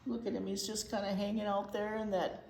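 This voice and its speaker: A woman's voice: mid-range voice, flat delivery, a little fast, gravelly, darkish tone. A woman reading a picture book aloud to young children, with simple explanations and chatty asides. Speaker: children's storytime host, librarian. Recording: echoey room with faint background noise.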